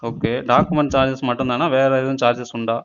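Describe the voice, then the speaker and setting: A man's voice: medium pitch, fairly even intonation, quick pace, smooth. A man on a recorded phone call, questioning an agent persistently as a customer. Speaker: caller asking about a loan.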